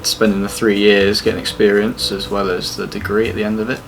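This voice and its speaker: A young man with an English accent, an apprentice talking casually about his routine and studies, with a relaxed, hesitant manner. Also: fairly low pitch, brisk, a little hiss or hum, poor audio. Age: young adult. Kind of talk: casual interview answers.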